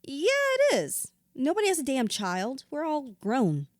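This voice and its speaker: A woman's voice: high voice, huge pitch swings, measured pace, dry recording, studio quality, full character voice. An actress playing a hurt, bitter widow confiding in her therapist in an audio drama, raw, blunt and sweary. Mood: guarded, bitter. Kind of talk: audio drama acting, confessional dialogue.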